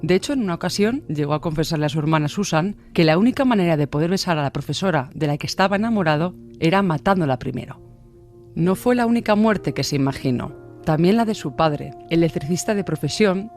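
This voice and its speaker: A man's voice: on the high side, darkish tone. A man reading scripted true-crime narration.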